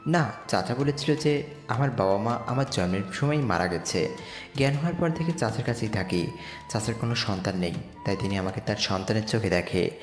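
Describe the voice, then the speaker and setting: Brief: male actor as humble, earnest young slum lad, animated delivery, heavy reverb, music underneath, romantic audio drama. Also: on the low side, a little fast, lightly acted. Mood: shy, humble. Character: poor young slum boy, humble, earnest, narrating his story. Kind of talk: romantic audio story narration and dialogue. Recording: echoey reverb with music bed.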